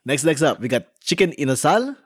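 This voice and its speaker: A man's voice: on the high side, very animated, fairly quick, slightly rough, no room sound, clean studio sound. A man from the Philippines co-hosting a casual podcast, reading dish descriptions and laughing often as he trips over words. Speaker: podcast co-host.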